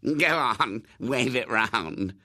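A man's voice: medium pitch, lively intonation, very slow, pushed and bright, dry recording, studio quality, full character voice. A man voicing a smug, spiteful young boy in a storybook, gloating and taunting. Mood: malicious glee.

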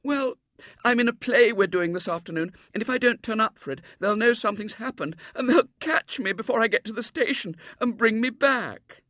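A man as a very high, plaintive little girl. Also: normal speed, pushed and bright, dry recording, studio quality. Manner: wailing, plaintive, breathless phrasing. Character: tearful, homesick little girl, pleading and earnest. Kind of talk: storybook character voice in narration.

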